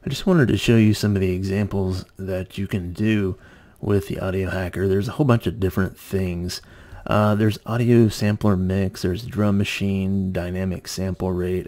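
A man's voice: deep voice, mellow. A man presenting an informal hands-on tech tutorial, explaining plainly step by step.

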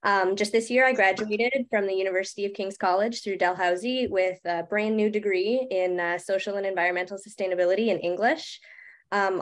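Woman in her twenties, upbeat webinar host reading from notes on a video call, mid-pitched voice. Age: 20s. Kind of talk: scripted introduction. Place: home room.

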